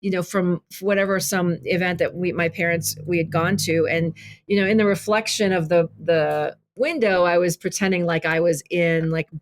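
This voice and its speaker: A woman's voice: on the low side, fairly even intonation, slight background noise. A woman whose first language is Arabic, a filmmaker and author speaking as a podcast guest, talking reflectively with many restarts. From grew up in Kentucky, USA. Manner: reflective, halting, many restarts and "you know". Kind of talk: casual interview conversation.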